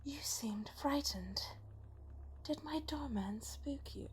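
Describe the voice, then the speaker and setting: A woman voicing a menacing female spirit of darkness in a slow, taunting whisper for an ASMR roleplay. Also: high-pitched, a little hiss or hum. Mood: mocking, cold.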